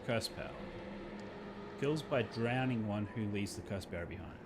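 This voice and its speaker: A man's voice: lowish voice, lively intonation, moderate pace, noisy background. A man streaming a visual novel, reading the text aloud and adding his own commentary. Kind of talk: game playthrough commentary and dramatic read-aloud. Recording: game music behind the voice. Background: video game music.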